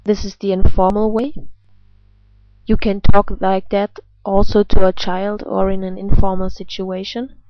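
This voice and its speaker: A woman giving a scripted language lesson as a teacher, reading example phrases with careful, deliberate enunciation. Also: medium pitch, level tone, no echo, muffled recording.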